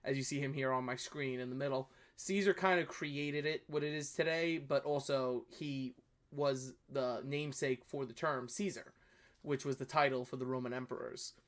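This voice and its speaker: A man's voice: mid-range voice, steady tone, moderate pace. A man with a New York accent hosting a history review channel, talking casually and correcting himself as he goes. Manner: rambling, self-correcting, apologetic asides. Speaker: history video host. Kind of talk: casual commentary reviewing a video.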